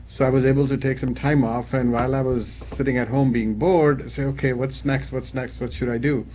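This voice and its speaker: Fifties male guest, founder type, casual and halting, slightly muffled studio sound. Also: medium pitch, fairly even intonation, a little hiss or hum. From Pakistan, raised in Saudi Arabia. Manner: hesitant, many fillers and restarts. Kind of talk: casual interview answers.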